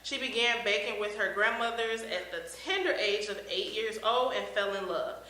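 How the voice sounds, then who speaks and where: A woman interviewer in a casual chat who speaks brightly, laughs often and uses many fillers. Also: medium pitch, normal speed, reverberant, slight background noise, poor audio.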